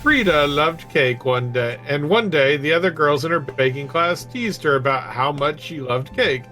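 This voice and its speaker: Man, fairly high voice, storybook read-aloud, casual gamer chat, faint music behind. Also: expressive, normal speed, bright, forceful tone. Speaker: guest co-player reading stories aloud.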